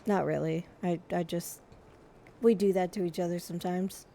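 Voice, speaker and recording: A woman streaming live, chatting casually and gushing, her voice a little hoarse, with frequent restarts. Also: fairly low pitch, fairly even intonation, normal speed, mellow. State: slightly hoarse.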